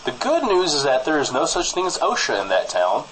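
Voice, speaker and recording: A man co-hosting a comedy podcast on a studio mic, bantering through mock local news in a deadpan, rambling way. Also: fairly high pitch, a little fast. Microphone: studio microphone. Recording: faint background noise.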